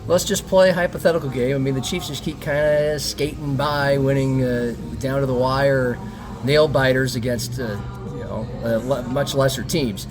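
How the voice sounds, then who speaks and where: A man's voice: medium pitch, fairly even intonation, normal speed, music in the background. A man hosting a sports talk podcast, chatting casually and putting questions to his guest.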